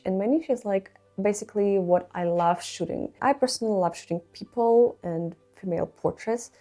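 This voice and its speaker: A woman's voice: on the low side, mellow. Chatty woman, under the weather. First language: not English. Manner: chatty and playful, laughs at her own stories. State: sick, voice a bit off. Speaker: photography channel host. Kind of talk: casual Q&A vlog.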